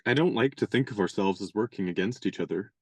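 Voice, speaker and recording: An actor playing a genial, gallant young gentleman adventurer in a radio play, courteous and wry in measured speech. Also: fairly low pitch, close to an everyday voice.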